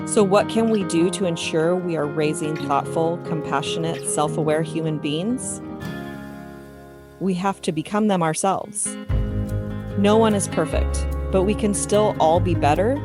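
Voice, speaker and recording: Woman host, scripted intro, earnest and reflective, lowish voice, guitar music behind. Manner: earnest and reflective, measured delivery. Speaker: podcast host.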